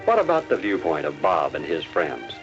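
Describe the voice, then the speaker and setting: A man's voice: extremely low pitch, wildly swooping pitch, moderate pace, soft background music. A man narrating a scripted 1950s educational film in a didactic manner, posing rhetorical questions. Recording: dull 1950s film soundtrack.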